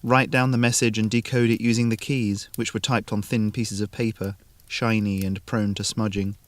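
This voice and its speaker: A man's voice: lowish voice, flat delivery, normal speed, dry recording. A man narrating a scripted short story as the storyteller.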